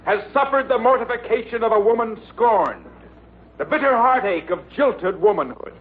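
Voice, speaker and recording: An actor playing a pompous, theatrical prosecutor with formal oratory in an old radio sitcom. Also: male voice, sits high. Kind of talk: 1940s radio sitcom acting. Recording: tinny old radio recording with hiss.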